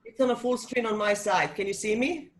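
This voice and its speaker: A woman's voice: a little high, brisk. Earnest woman giving a webinar talk. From Serbia. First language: Serbian. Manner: earnest, with frequent ums and restarts. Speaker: wellness coach and guest speaker. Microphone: video call.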